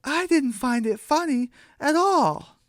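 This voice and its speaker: A woman voicing an earnest, excitable young female chicken in a children's radio play. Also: sits high, takes it slowly, no room sound, clean studio sound, pitched above the performer's own voice. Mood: hurt, reproachful.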